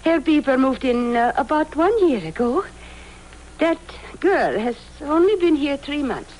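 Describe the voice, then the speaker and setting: Prim, nervous spinster teacher; high, swooping, hesitant delivery, vintage radio. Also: female voice, animated, unhurried, a little mellow. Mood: prim, eager. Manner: hesitant, polite, fluttery. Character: anxious, prim middle-aged Swiss spinster schoolteacher. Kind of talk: 1950s radio drama acting. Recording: noisy old radio recording.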